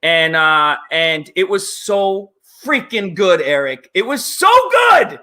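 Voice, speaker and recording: A man with a high, bright, forceful voice talks casually as an interview guest. He is an excitable enthusiast who rambles along and leans hard on his words. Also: takes it slowly. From United States, child of Italian immigrants. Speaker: interview guest, maker magician.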